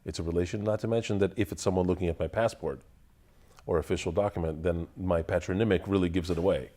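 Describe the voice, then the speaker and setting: A man whose first language is Russian, answering interview questions in a measured, deliberate way, pausing to find his words. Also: deep voice, steady tone, dark tone. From Russian family, raised in the United States. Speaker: interview guest. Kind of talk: thoughtful conversational answers.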